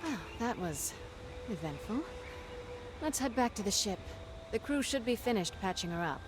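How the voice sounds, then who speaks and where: A woman's voice: medium pitch, big pitch swings, moderate pace, background noise, lightly acted. A woman acting as a cocky, vengeful pirate captain in a video game, wry and commanding. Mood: wry, confident.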